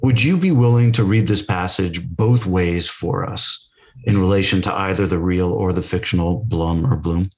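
A man's voice: low voice, a little mellow. A man hosting a podcast interview, reading a passage aloud and then posing careful prepared questions. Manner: measured, reads quotes then asks.

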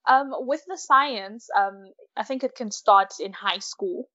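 A woman's voice: mid-range voice, a little slow, pushed and bright. Casting: woman in her twenties, South African accent, chatty interviewee telling her story, slightly muffled sound. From Malawi, grew up in South Africa from about age six. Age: 20s. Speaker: interview guest, science postgraduate student.

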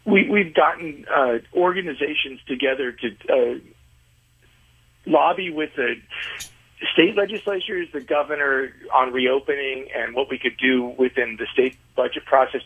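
A man with a husky, rough-edged voice comes through a narrow phone-like line. He speaks as a radio interview guest, earnestly explaining in halting phrases and often doubling back on his words. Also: mid-range voice. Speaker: interview guest, independent venue organizer.

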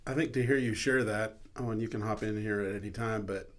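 Low voiced podcast guy asking the guest questions, soft, lots of uhs. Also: fairly even intonation, a little fast. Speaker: podcast co-host. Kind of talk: casual interview chat.

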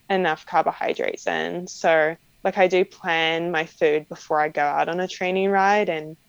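A young woman with a strong New Zealand accent talks casually in an interview, her speech peppered with "like" and small laughs. Her voice sits low with a gravelly, fry-tinged edge. The sound is dry and close, a bit dull and lo-fi. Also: dry recording. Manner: chatty, frequent "like" fillers and light laughs. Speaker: professional cyclist guest.

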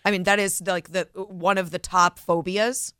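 A woman's voice: lowish voice, fairly even intonation, a bit bright, clear, resonant tone, no echo, clean studio sound. A woman co-hosting a radio talk show, chatting in a conversational way and dropping in short agreeing remarks. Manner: conversational, quick agreeing interjections. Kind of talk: casual talk radio discussion. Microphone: studio microphone.

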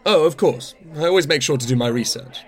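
A male actor as a middle-aged-to-older man of the theatre, self-absorbed and wry. He talks very quickly at a middling pitch, rambling through his opinions with a patronising edge, in natural radio play dialogue. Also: lightly acted. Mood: opinionated, patronising.

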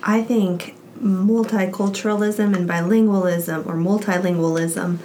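A woman and mother speaking as a podcast guest, talking in animated bursts with lively emphasis. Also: medium pitch, a little slow, over music. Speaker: guest, parent. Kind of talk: casual interview chat.

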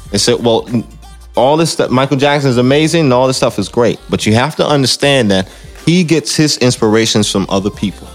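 A man talking casually as an interview guest, explaining and telling stories in an emphatic way, often repeating phrases. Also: medium pitch. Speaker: guest musician, singer and dancer.

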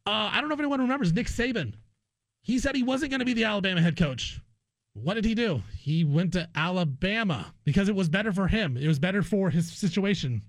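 A man's voice: high voice, expressive, a little fast, no echo. A man hosting a sports talk show, delivering an emphatic, argumentative opinion monologue and repeating phrases for effect. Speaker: sports radio host.

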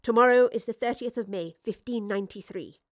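A woman playing an earnest, urgent female professor and time traveller in an audio drama, speaking intensely in halting phrases. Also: a little high, no room sound, clean studio sound, close to an everyday voice. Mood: grave realisation.

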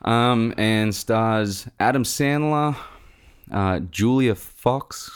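A man's voice: mid-range voice, very slow, smooth. A young man co-hosting a podcast, chatting casually about a film, rambling with lots of fillers and casual swearing. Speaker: podcast co-host. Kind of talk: casual film review chat.

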